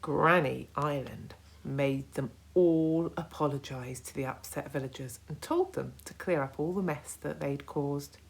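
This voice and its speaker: Woman storyteller, low pitch, creaky edge, expressive, deliberate children's book narration. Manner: deliberate, expressive, hushed at times.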